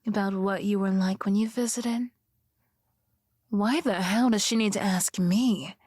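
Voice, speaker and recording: A woman performs a possessive yandere girlfriend for an ASMR roleplay. Her voice is breathy and often slips into whispers, very slow and intimate in a dry, close sound. She is coaxing and sweet, with soft menace underneath. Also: mid-range voice, dry recording, natural voice. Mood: bitter, possessive. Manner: slow, coaxing, softly threatening.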